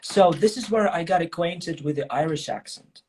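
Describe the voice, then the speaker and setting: A man's voice: fairly high pitch, normal speed, darkish tone, a little hiss or hum. A man hosting a casual livestream chat, enthusiastic and chatty, often checking in with the listener. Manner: enthusiastic, chatty, checks with "okay?" and "you know?". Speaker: host, English teacher.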